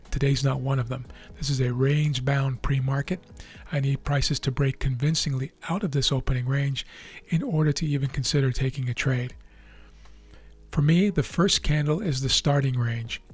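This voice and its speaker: A man with a raspy, tired voice, hosting a trading video and explaining live charts in a measured way with frequent fillers and restarts. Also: mid-range voice. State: losing his voice.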